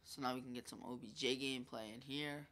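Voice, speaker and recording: A young man commentating his own gameplay in a loose, rambling way, full of ums and asides. His voice is bright but stuffy and congested. He talks into a desk mic over the game. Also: medium pitch, steady tone, quick pace. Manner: chatty, rambling, filler-heavy. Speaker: gaming YouTuber.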